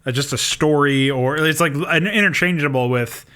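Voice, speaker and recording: A man's voice: on the high side, moderate pace, bright, forceful tone, clean tone. A man hosting a podcast, chatting casually in a conversational way. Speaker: podcast host.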